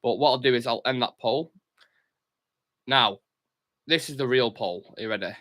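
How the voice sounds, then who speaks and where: A man's voice: medium pitch, normal speed, pushed and bright. A man hosting a football fan live stream, chatting casually and reading out viewer comments, blunt and opinionated. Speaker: host of a football fan show.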